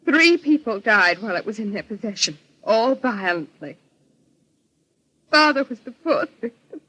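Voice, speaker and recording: An anxious, grieving young lady of good family in a vintage radio melodrama. Her American voice is fairly high and rough-edged with huskiness, and she speaks with earnest, polite drama. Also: sing-song, moderate pace, fairly bright tone, no echo, full character voice, low-quality recording. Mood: grave, distressed. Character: anxious, bereaved, well-bred daughter of a murdered man. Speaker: radio actress. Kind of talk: old-time radio melodrama acting.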